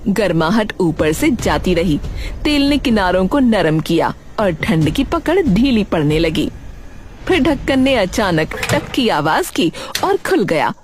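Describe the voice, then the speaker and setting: A woman's voice: mid-range voice, sing-song, a little slow, a little hiss or hum. A woman narrating a scripted cartoon story.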